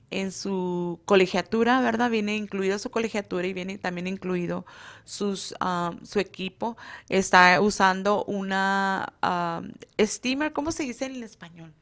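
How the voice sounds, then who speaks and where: Woman, beauty school instructor, halting speech, lots of fillers, native Spanish speaker, mid-range voice. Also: medium pitch. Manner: hesitant, many fillers, searches for words. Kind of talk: casual radio interview.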